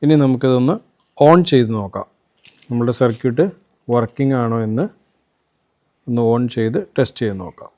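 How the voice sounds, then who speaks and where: A man's voice: mid-range voice, normal speed, pauses often, a little mellow. A man with a Malayali accent from Kerala presents an electronics demo, explaining things informally, step by step. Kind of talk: informal product demo and tutorial.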